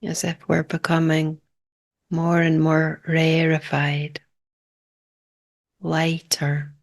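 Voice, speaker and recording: Slow, low-voiced woman guiding meditation. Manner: calm, unhurried, hushed phrases with long pauses. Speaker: meditation guide. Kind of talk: guided meditation, improvised.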